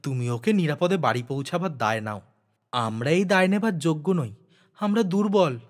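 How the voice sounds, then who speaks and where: A man's voice: a little high, animated, moderate pace, lightly acted. A man voicing a humble, worried uncle in an audio story, earnest and pleading.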